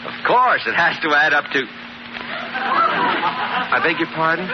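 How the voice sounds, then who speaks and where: An actor playing a polite, easily baffled real estate agent in an old radio sitcom. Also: male voice, fairly high pitch, wildly swooping pitch, slow, heavily acted. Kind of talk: 1940s-50s radio sitcom acting. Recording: muffled, very noisy old radio recording.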